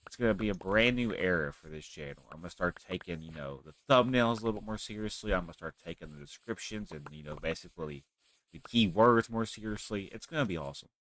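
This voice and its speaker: A man, hosting his own gaming channel, rambling and thinking out loud as he plays, his nose stuffy from a cold. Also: deep voice, lively intonation, quick pace, slight background noise. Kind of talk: casual gameplay commentary.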